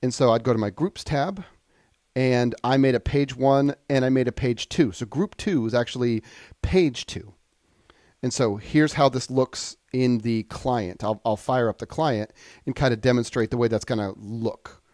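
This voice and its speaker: A man demonstrating software in a casual, conversational tutorial style, explaining things informally step by step. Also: medium pitch, moderate pace, no echo, clean studio sound.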